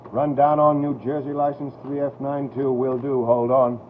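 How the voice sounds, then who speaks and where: A man's voice: mid-range voice, level tone, normal speed, natural voice. A man playing a dry police radio dispatcher on night shift, in old-time American radio drama style. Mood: businesslike. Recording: muffled, hissy vintage radio recording.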